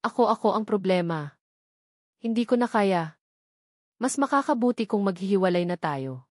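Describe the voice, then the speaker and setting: A woman's voice: medium pitch, animated, normal speed, no echo, lightly acted. Woman acting tearful, resolute young woman, dry sound.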